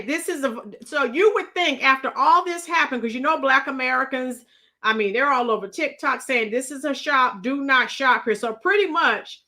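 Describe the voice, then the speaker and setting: A woman's voice: high voice, pushed and bright. A woman hosting a casual live reaction show, emphatic and exclamatory, talking straight to her viewers. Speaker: livestream host reacting to news and viewer comments.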